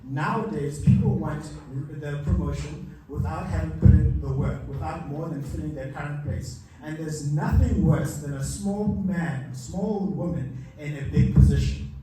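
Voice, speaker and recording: A man's voice: a little high, normal speed, hardly any pauses, far from the mic, noisy background. A man giving a live motivational masterclass, emphatic and repeating his key lines. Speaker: motivational speaker. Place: large hall with a live audience. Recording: echoey hall mic heard from afar.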